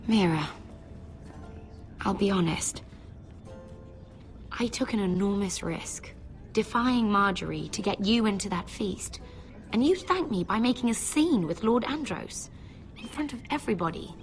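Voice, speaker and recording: A woman playing an anxious, reproachful young noblewoman at court in a fantasy video game, with a measured, pointed delivery. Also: medium pitch, animated, faint music behind.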